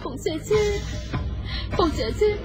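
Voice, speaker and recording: An actress playing a caring, spirited sister-in-law in a radio drama, expressive and theatrical, with a Hong Kong Cantonese accent. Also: female voice, very high-pitched, takes it slowly, music in the background, heavily acted. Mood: tender, urging.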